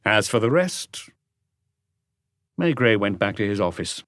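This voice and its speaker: A man reading a crime novel as an audiobook, in measured, articulate scripted narration. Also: on the low side, sing-song, fairly bright tone, no echo, clean studio sound.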